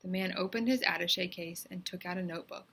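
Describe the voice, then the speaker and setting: A woman reading aloud as a teacher, in expressive, deliberate and suspenseful storybook narration. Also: lowish voice, creaky, husky.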